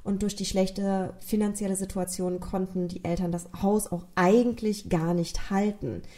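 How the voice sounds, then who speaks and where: A woman's voice: medium pitch, dark tone. A woman from Rhineland-Palatinate with a regional German accent, earnestly retelling a true crime case as a podcast host and speaking directly to her listeners.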